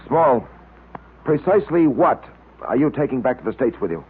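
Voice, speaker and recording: An actor playing a brisk, blunt, level-headed detective in an old radio drama, with a clipped, authoritative manner. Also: male voice, mid-range voice, big pitch swings, slightly rough. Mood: stern, blunt. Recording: tinny old radio recording, light noise.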